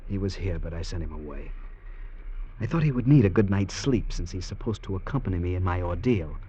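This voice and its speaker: An actor playing a doomed innocent convict, passionate and bitterly ironic, in theatrical old radio drama style. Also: male voice, low voice, expressive, fairly quick. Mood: bitterly wry. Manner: theatrical, swinging from hushed to impassioned. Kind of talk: 1940s-50s American radio drama acting. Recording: dull, noisy vintage radio recording.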